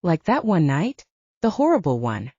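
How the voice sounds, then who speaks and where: A woman performs a young woman in love, sweet and playful, in audiobook dialogue. Her tone is gentle and dark, her melody animated, and the recording is dry. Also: on the low side, normal speed, slightly rough, dry recording, lo-fi sound, heavily acted. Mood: shyly teasing.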